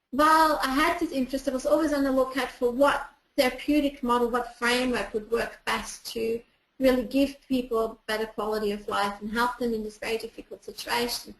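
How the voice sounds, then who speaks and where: A woman from Austria with an Austrian German accent, a clinical psychologist explaining patiently in an online presentation, with frequent ums. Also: fairly high pitch. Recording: echoey room.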